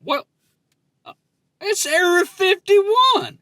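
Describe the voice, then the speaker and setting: A man's voice: very high-pitched, expressive, slow, sharp tone, no room sound, studio quality. A man hosting a comedy podcast in casual banter, with a folksy, rambling, playfully naive way of talking.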